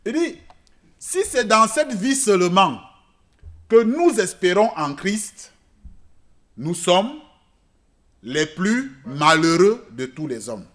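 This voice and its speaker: Man, pastor, sermon in church, second-language speaker, loud peaks and soft asides, fairly high pitch. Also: slow, long pauses. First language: not French. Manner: animated preaching, swings between loud emphatic peaks and quiet asides. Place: church service.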